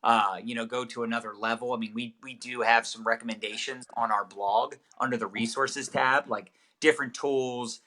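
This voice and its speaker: A man with a bright, forceful voice at an ordinary middle pitch, talking through marketing tips in an upbeat, chatty way. He sounds like a guest coach in a casual live interview, loose and full of fillers. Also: steady tone, moderate pace, hardly any pauses. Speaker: network marketing trainer, guest.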